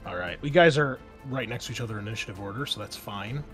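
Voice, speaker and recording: A man's voice: fairly low pitch, animated, fast, music in the background. A man acting as game master, improvising narration and explaining rules in a conversational, wry way. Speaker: game master of a tabletop RPG.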